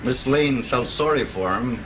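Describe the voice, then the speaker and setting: An actor playing a plainspoken studio electrician who explains things matter-of-factly. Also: male voice, medium pitch, unhurried, close to an everyday voice. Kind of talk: 1930s film acting. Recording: hissy 1930s film soundtrack.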